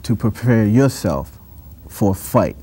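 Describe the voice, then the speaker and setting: A man hosting a TV interview show in a studio, asking questions in a measured, drawn-out way. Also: on the low side, big pitch swings, mellow, breathy edge. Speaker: TV talk show host. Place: TV studio set.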